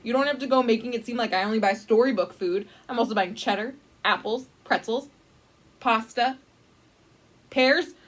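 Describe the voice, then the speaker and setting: A woman's voice: on the high side, normal speed, close to an everyday voice. A woman voicing a grumpy, exasperated storybook alligator with an irritated, matter-of-fact way of complaining. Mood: defensive. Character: exasperated, grumpy alligator who just wants peace. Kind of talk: storybook read-aloud dialogue.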